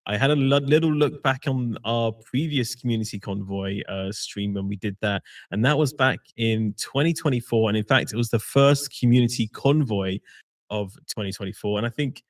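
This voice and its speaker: A man's voice: medium pitch, normal speed. A man hosting a live game-company stream as community manager, chatty and welcoming.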